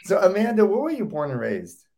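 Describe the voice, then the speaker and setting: A man's voice: high-pitched, huge pitch swings, fairly quick, gravelly, dark tone. A man hosting a casual interview, enthusiastic and often repeating or restarting words. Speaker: host.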